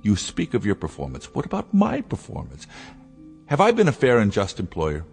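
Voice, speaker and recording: A man's voice: on the low side, wildly swooping pitch, normal speed, gravelly, darkish tone, soft background music, exaggerated voice. A man voicing a commanding, persuasive inventor father in a radio drama. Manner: commanding, coaxing. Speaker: scientist.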